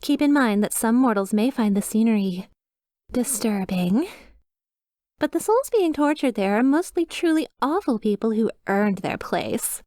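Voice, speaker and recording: A woman's voice: a little high, expressive, unhurried, mellow, no room sound, studio quality. A woman playing a cheerful demon receptionist in an ASMR roleplay, with polished, reassuring customer-service politeness and a slyly sinister undertone. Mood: bright, reassuring. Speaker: hotel receptionist character.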